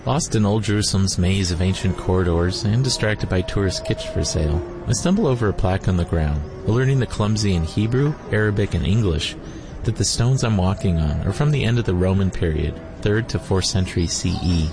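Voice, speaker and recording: A man's voice: sits low, fairly quick. A man telling a scripted first-person travel story in a wry, reflective way. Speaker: narrator and photographer. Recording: narration over loud music. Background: loud music.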